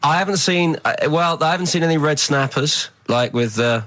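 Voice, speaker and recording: A man's voice: fairly high pitch, moderate pace, fairly bright tone, dry recording, rough recording. A man in casual radio chat as a studio guest, telling anecdotes in a hesitant, rambling way. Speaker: studio guest, rock music fan.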